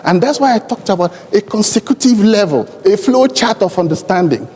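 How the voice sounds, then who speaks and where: A man with a Nigerian accent and Igbo as his native language, speaking deliberately and emphatically in elaborate formal phrases on a conference panel. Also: high voice, lively intonation, darkish tone, slightly rough. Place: large conference hall. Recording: long hall reverb from conference PA.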